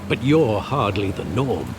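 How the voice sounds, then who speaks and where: An actor playing a loyal, weary older butler and guardian in a video game, speaking in a measured, earnest, formal way. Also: male voice, fairly low pitch, big pitch swings, background noise. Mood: pained, earnest. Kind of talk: dramatic video game acting.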